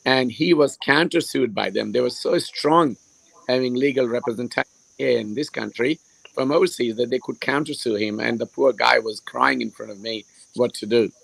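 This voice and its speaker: A hoarse man, a surgeon, speaking on a panel over a video call, hesitant and full of fillers. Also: medium pitch, normal speed, low-quality recording. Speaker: hair restoration surgeon, panelist. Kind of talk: live stream panel discussion.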